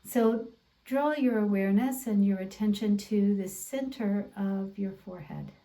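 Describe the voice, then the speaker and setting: A woman instructor leading a guided meditation in a gentle, deliberate, encouraging way over an online video class. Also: mid-range voice. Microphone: away from the mouth, video call.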